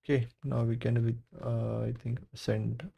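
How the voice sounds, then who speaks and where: A man's voice: mid-range voice, little pitch movement, unhurried, creaky, highs cut off, slight background noise. A man giving casual live game commentary, offhand and half talking to himself as he plays. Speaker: game streamer.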